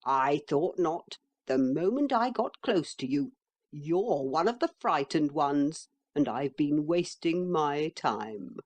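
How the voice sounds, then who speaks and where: Woman doing a slow, teasing talking horse. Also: sits low, expressive, darkish tone, full character voice. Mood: scornful.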